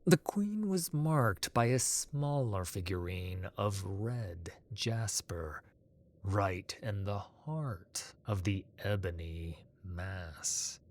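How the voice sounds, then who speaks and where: A man's voice: low voice, lively intonation, extremely slow. A man reading a scripted fantasy story as narrator.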